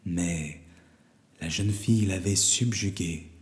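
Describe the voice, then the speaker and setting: Male storyteller for children's legends: low-pitched, slow, measured and theatrical delivery. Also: darkish tone. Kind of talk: scripted fairy-tale narration for children.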